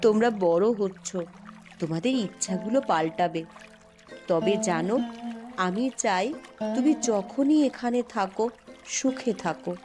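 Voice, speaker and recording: A woman playing a gentle, resolute widowed mother in a cartoon story, speaking slowly and earnestly to console. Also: medium pitch, lightly acted. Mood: tender, hopeful. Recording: music behind the voice.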